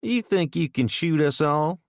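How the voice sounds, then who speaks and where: A man's voice: fairly high pitch, animated, unhurried, no room sound, clean studio sound, pitched above the performer's own voice. A man doing a cocky young Louisiana thug. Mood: taunting. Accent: South Louisiana. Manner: taunting drawl.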